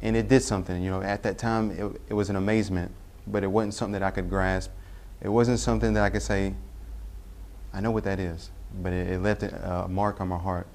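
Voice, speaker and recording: A young man from Tennessee, telling his personal faith story in a halting way, with frequent pauses and self-corrections. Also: deep voice, little pitch movement, a little mellow. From Tennessee, US Southern. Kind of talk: personal testimony.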